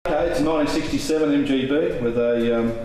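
An Australian man in his sixties talks hesitantly, with plenty of fillers and restarts, at a mid pitch. He is recorded in a very echoey metal workshop, and steady noise sits behind his voice. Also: quick pace. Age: 60s. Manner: rambling, hesitant, laughs easily. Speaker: car collector. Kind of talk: casual interview chat. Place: large metal workshop shed, indoors.

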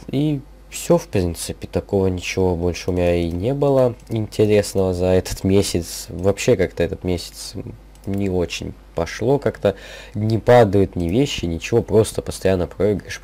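A young man speaks in a low, mellow voice that sounds slightly sick. He rambles through casual game commentary, thinking aloud in halting gamer slang, with music playing behind him. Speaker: gaming video creator. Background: background music.

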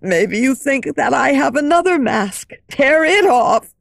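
A furious, anguished masked phantom of a man, shouting slowly and bitterly in a slightly high, gravelly voice, as a woman reads him aloud. The storybook recording is close and dry. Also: expressive, dry recording, exaggerated voice. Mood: anguished fury. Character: masked male phantom, tormented, raging, possessive. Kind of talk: audiobook reading.

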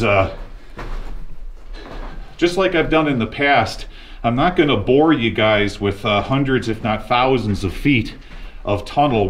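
A man hosting a mine exploration vlog, talking viewers and a companion through abandoned tunnels in a slow, easygoing way. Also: medium pitch. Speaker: mine explorer and channel host. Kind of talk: walking-tour vlog narration. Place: large abandoned underground mine. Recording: echoey underground tunnel, faint noise.

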